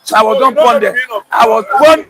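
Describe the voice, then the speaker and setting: High-voiced Nigerian man arguing emphatically. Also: moderate pace, a loud crowd. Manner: emphatic, mixing Pidgin. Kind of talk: heated street debate.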